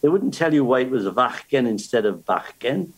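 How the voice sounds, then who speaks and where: A man's voice: mid-range voice, animated, moderate pace, breathy edge, poor audio. An older man, chatting casually and telling stories about learning the language. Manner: storytelling, with restarts. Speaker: guest. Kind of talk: casual chat.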